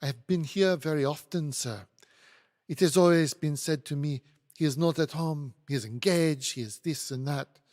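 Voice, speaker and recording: A man's voice: fairly high pitch, normal speed, a little mellow, long reverb tail, lightly acted. A man voicing a fierce, disdainful French maid with a French accent and insistent, foreign phrasing. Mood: indignant.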